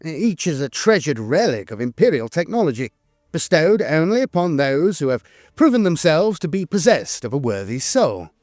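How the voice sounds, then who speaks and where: Male narrator, animated intonation, solemn formal delivery, scripted lore reading, very dry recording. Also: a little high, normal speed, no room sound, faint music behind.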